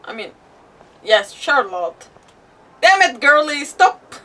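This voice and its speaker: Casting: female streamer, fairly high voice, playful rambling commentary, mic slightly distant. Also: sing-song, slow, pushed and bright, a little hiss or hum. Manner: rambling, playful, mild swearing. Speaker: game livestreamer. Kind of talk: casual live gaming commentary.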